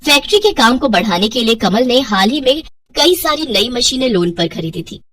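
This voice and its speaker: A woman's voice: fairly high pitch, animated, moderate pace, a bit bright, recorded from a distance, slight background noise. A woman narrating a scripted moral story as a storyteller.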